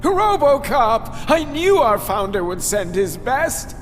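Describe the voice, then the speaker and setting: A prissy bank clerk in a video game, devoted to his employer. His voice is extremely high, fussy and excitable, in a reverberant hall with noise behind it. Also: takes it slowly, exaggerated voice. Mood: indignant, relieved. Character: prissy, fretful, loyal male bank employee. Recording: echoing hall, clearly noisy background.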